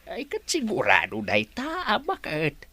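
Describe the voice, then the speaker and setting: A man's voice: high voice, huge pitch swings, measured pace, sharp tone, heavily acted. A man playing a deferential, cautious old resort worker with a hesitant, respectful manner in an Ilocano radio comedy drama. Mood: chatty, reassuring.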